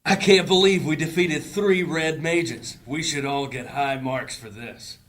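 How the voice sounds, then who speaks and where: A man's voice: a little high, a little slow, fairly bright tone. A man playing an earnest, respectful thief in a fantasy audio drama. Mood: amazed.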